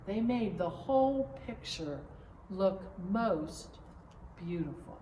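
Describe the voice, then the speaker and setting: A woman pastor reading a children's story aloud in an expressive, measured storytelling manner. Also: medium pitch. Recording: roomy echo, distant mic, faint noise.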